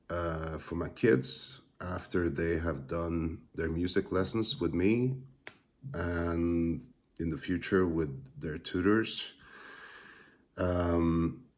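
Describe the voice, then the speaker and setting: Slow, low-voiced Norwegian man musing. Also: a little mellow. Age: adult father. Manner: thoughtful, hesitant, many pauses and fillers. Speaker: musician and music teacher. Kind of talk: casual reflective monologue.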